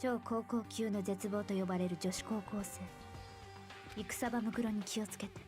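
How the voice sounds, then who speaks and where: A cool, reserved teenage girl detective, played by a voice actress from a video game. She speaks calmly in a hushed, measured voice. Game music plays clearly beneath her, and her voice sits at a middling pitch. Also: natural voice.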